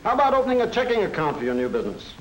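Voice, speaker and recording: An actor playing a courteous, methodical small-town bank loan officer in a 1940s educational film, speaking in a formal, measured, businesslike way. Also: male voice, sits high, wildly swooping pitch. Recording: noisy 1940s film soundtrack.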